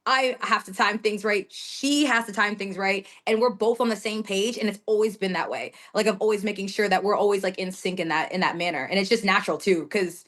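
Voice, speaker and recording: A young woman from the United States chats through an interview in a relaxed, easy way. She laughs often and fills her sentences with "like" as she goes. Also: mid-range voice, a little fast, hardly any pauses. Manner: chatty, laughing, many fillers like "like". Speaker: guest wrestler. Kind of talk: casual interview chat.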